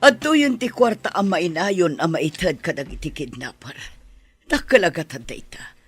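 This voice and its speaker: A woman playing an elderly grandmother who frets over her kidnapped grandchild in a radio drama, speaking softly and pleading. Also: lowish voice, lively intonation, normal speed, breathy edge, soft background music. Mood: anxious. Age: older. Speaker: mother and grandmother.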